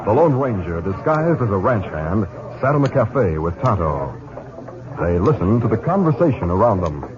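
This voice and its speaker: A man's voice: lowish voice, very animated, moderate pace, crowd noise. A man narrating a scripted western in the style of 1950s radio drama. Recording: very noisy, muffled 1950s radio recording.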